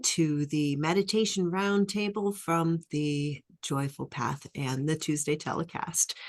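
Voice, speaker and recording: A woman hosting a live online roundtable, welcoming guests with many fillers and restarts. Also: lowish voice. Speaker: host.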